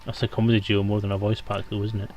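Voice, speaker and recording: A man's voice: on the low side, monotone, fast talker, darkish tone, muffled recording, a little hiss or hum. A man hosting a casual stream chat with dry, self-mocking humour.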